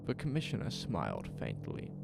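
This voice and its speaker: Low, creaky male horror narrator. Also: huge pitch swings, normal speed. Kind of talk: scripted horror audio drama narration. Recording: music behind the voice. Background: music.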